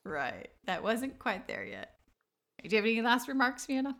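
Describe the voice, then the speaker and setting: A woman's voice: on the high side, sing-song, normal speed, a bit bright. A middle-aged woman co-hosting a casual family podcast, chatty and affectionate. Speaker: podcast co-host, mother.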